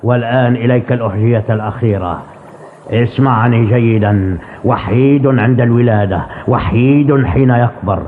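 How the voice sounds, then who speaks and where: A man voicing a boastful, scheming river monster in a cartoon dub, with a gravelly, theatrical and commanding delivery. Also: mid-range voice, normal speed, close to an everyday voice. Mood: sly, solemn. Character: boastful scheming river monster who poses deadly riddles. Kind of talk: dubbed cartoon voice acting in formal Arabic. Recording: tinny phone-like band, noisy old TV dub.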